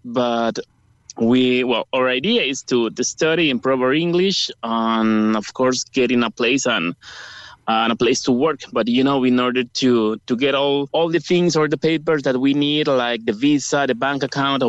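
Male phone-in caller, Salvadoran Spanish accent, smooth clear voice, earnest halting English, dull line. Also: mid-range voice, dry recording. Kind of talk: conversational interview answers.

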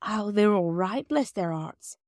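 A woman's voice: medium pitch, expressive, a little slow, a little mellow, no room sound, clean studio sound, pitched above the performer's own voice, natural voice. A woman voicing a firm, scolding working-class wife with blunt dialect speech in a story reading. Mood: scolding, fond.

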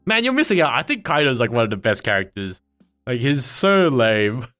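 Guy hosting a chill podcast, teasing and laughing a lot, voice jumps all over, faint music under it. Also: normal speed. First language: not Chinese. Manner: teasing, laughs often, lively swings in pitch. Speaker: podcast host. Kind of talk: casual chat.

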